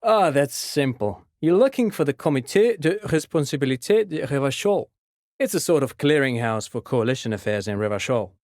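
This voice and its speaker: An actor as an animated, pedantic gentleman intellectual. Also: mid-range voice, normal speed, no echo, clean studio sound, close to an everyday voice. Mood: enthusiastic, lecturing. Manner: pedantic, erudite, explanatory, courteous. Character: polite, pedantic, enthusiastic intellectual man and doting parent. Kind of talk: video game dialogue acting.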